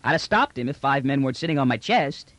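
Audiobook role: brash prisoner trader, voiced by a man, colloquial and bland-faced cheek, lively swings in pitch. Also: quick pace, dry recording, close to an everyday voice. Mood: indignant. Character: brash, unruffled bearded male space trader prisoner, slangy. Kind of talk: audiobook character dialogue.

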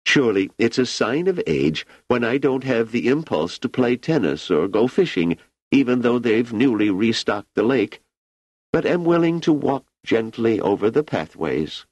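A man's voice: mid-range voice, sing-song, normal speed, darkish tone, no room sound, pitched above the performer's own voice, rough recording. A man voicing an aging emperor who is idle, ironic and imperious, with a languid, wry delivery. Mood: wistful.

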